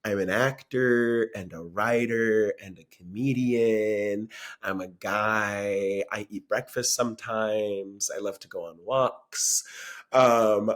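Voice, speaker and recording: Young male podcast guest from Florida, lowish voice, deadpan comedic delivery. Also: measured pace. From Florida, USA. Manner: dry deadpan, many ums and likes. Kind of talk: casual comedic chat.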